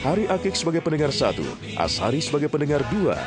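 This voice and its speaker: A male radio drama announcer reads credits dramatically. His very animated, mid-pitched delivery is declamatory, with prominent guitar music behind him. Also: fast.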